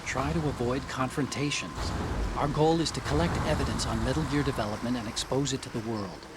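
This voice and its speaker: Man voicing an earnest young tech guy on the radio, explains a lot, loud rain noise. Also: medium pitch, fairly quick, lightly acted. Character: earnest young male engineer on radio support. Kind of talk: video game radio dialogue.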